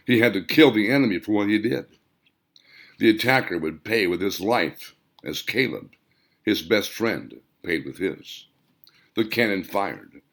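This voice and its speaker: A man's voice: lowish voice, gravelly, a bit bright. A man reading his own novel aloud as scripted audiobook narration, with dramatic, emphatic phrasing.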